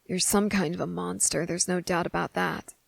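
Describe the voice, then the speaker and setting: A woman voicing a guarded, defiant young boy with deliberate, halting, serious delivery in an ASMR roleplay audio drama. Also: lowish voice, fairly even intonation, creaky, dry recording. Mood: puzzled, guarded.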